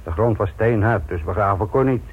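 An actor playing a wry, ageing police inspector in a radio drama, with a teasing, probing, familiar manner. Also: male voice, on the low side, brisk, husky. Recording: tinny, narrow-band radio recording.

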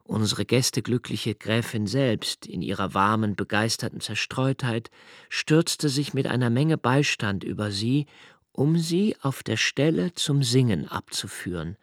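An actor playing a brooding, introspective young male diarist, narrating a literary radio play in a slow, hushed, measured way. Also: medium pitch, animated, no echo, studio quality. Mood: reminiscing. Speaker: first-person narrator.

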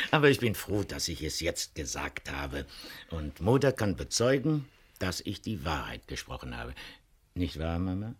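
An older Dutch farmer in a radio play, with a very low, husky voice. He is earnest and homely, and he weighs his words slowly and heavily. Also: very animated, a bit bright, full character voice. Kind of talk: radio crime drama acting.

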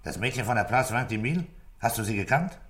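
An actor in a radio play playing a calm, gruff police commissioner who questions tersely and gives orders with authority. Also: male voice, mid-range voice, quick pace, background noise, close to an everyday voice. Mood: probing, urgent.

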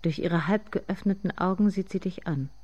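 An actress playing a somber narrator in a literary radio drama, speaking in measured, deliberate phrases. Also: female voice, lowish voice, darkish tone, muffled recording, lightly acted.

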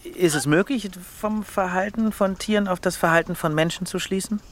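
An actor playing a burnt-out Berlin poet, a gentle, hesitant man who speaks with understatement in dramatic dialogue. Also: sits high, moderate pace, slight background noise, lightly acted. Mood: curious.

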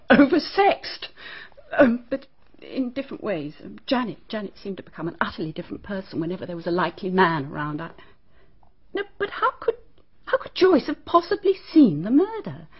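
An actress with a British accent playing a prim, nervous schoolteacher being questioned in a radio drama, answering in a clipped, guarded way. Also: female voice, medium pitch, sing-song, normal speed, husky. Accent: British English. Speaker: teacher questioned by a detective. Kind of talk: BBC radio drama acting. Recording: dull, muffled archive radio broadcast.

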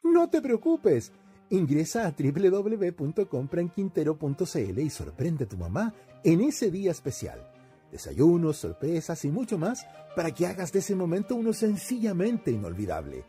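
A man's voice: a little high, wildly swooping pitch, moderate pace, mellow. A man reading an advertising voice-over over music. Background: faint music.

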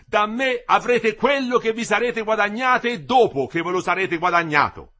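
A man voicing a weary, furious older engineer who scolds his workers loudly in a storybook reading. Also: sits high, normal speed, voice pushed up. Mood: scolding. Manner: shouting, scolding.

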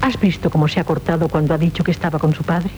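Actress as low-voiced, teasing princess. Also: female voice, fairly even intonation, quick pace, dark tone, natural voice. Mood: teasing, ironic. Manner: ironic, mischievous, theatrical. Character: witty, teasing, haughty royal princess. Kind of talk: 1970s Spanish radio theatre acting. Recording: faintly noisy, rumbly 1970s radio recording.

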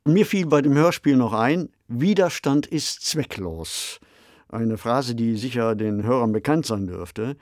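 A man co-hosting a discussion podcast, explaining in a measured, conversational manner. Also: medium pitch, lively intonation, dry recording, studio quality. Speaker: podcast co-host and novelist. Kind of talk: conversational radio discussion of science fiction.

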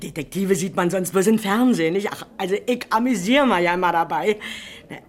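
A woman's voice: medium pitch, fairly quick, close to an everyday voice. Chatty, nosy Berlin woman played by an actress. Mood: chatty, self-important. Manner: colloquial, garrulous, stammering repetitions when excited. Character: chatty, nosy working-class older local woman, crime witness. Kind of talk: German radio crime play acting.

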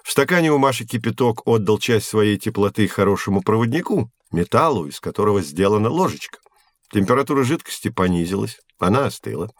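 Science teacher for educational audio drama: low, patient, articulate, recorded dry. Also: male voice, lively intonation, no echo. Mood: friendly, instructive. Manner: measured, explanatory, articulate. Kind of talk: scripted educational audio play.

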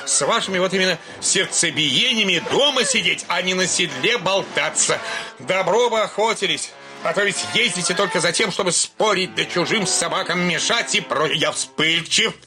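Man acting a high-pitched blustering old landowner. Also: moderate pace, music in the background, lightly acted. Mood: furious. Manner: excitable, full of repetitive verbal tics. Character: blustering, short-tempered older landowner father in farce. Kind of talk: theatrical comic radio drama acting.

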